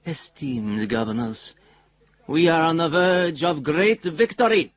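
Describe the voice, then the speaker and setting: An actor playing a confident, scheming bank chief executive, reading a formal, triumphant letter in a radio drama. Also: male voice, a little high, takes it slowly, highs cut off.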